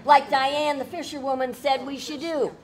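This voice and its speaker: An older woman, a protester and videographer, arguing insistently and loudly with security staff at an indoor conference. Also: sits high, level tone, moderate pace. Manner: insistent, argumentative, loud. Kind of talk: heated confrontation.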